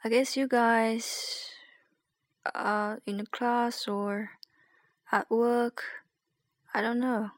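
A young woman with a Chinese accent, rambling hesitantly and softly in a late-night solo podcast. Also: a little high, level tone, drawn-out words, long pauses, no echo, studio quality. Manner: hesitant, rambling, soft and sleepy. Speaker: podcast host.

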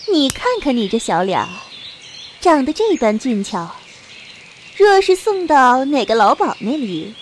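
A high-pitched, very animated young wuxia heroine acted by a woman, haughty and mocking. She teases with playful menace in an unhurried radio-play delivery, with faint noise and birdsong behind her. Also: exaggerated voice. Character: teasing, domineering young martial-arts heroine from demonic sect. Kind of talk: Chinese wuxia audio drama acting.

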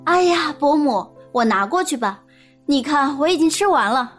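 A woman's voice: sits high, expressive, moderate pace, soft background music. An actress playing an eager, naive young woman in an audio drama.

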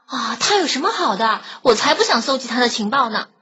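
A woman's voice: on the high side, sing-song, brisk, husky, exaggerated voice. A woman playing a sweet teenage schoolgirl in an audio drama. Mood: teasing. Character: teenage schoolgirl Xiaomei, sweet best friend. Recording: dull lo-fi sound with loud music.

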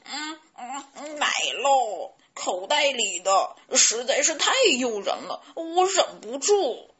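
A woman voicing a greedy, cheeky young chick with a drawn-out, mumbling way of talking. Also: on the high side, lively intonation, lo-fi sound. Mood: gleeful, guilty.

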